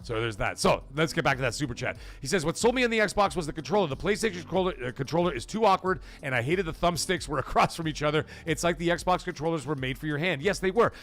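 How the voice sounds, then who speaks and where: Excitable male livestream host. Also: on the high side, fast, hardly any pauses, soft background music. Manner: excitable, swings loud for donation shout-outs; profane, emphatic opinions. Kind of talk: casual livestream chat reading super chats.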